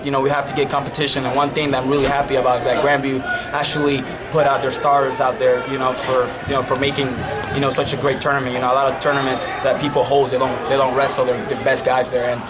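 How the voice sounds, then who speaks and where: A young man from the Dominican Republic, a native Spanish speaker, giving a relaxed post-match interview as a college wrestling coach, full of you-knows. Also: mid-range voice, quick pace, few pauses. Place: wrestling tournament arena. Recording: loud arena crowd behind. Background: crowd noise.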